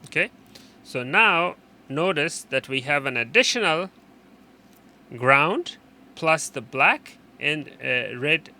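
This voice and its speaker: A man presenting an unscripted technical how-to, explaining in a halting way and often repeating and rephrasing his instructions. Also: a little high, expressive, pushed and bright, slight background noise. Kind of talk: unscripted hands-on tutorial explanation.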